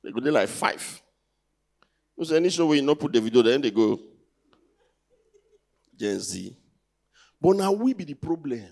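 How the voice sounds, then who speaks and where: A man's voice: mid-range voice, sing-song, moderate pace, gravelly, long pauses, darkish tone. A man in his thirties with a Nigerian accent doing conversational, teasing stand-up comedy on stage, putting rhetorical questions to the crowd through a handheld mic. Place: large indoor theatre stage. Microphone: handheld stage microphone.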